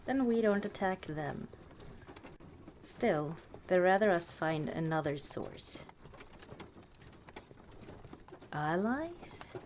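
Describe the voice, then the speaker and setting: A woman playing a warm, teasing orc chieftain wife in an unhurried, dryly dismissive ASMR roleplay monologue. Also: fairly low pitch, a little hiss or hum. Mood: matter-of-fact.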